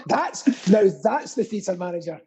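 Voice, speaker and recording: A man's voice: high-pitched, animated, measured pace, dark tone, a little hiss or hum. A man co-hosting a quiz with casual comic banter, teasing in a dry, mock-serious way. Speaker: quiz co-host.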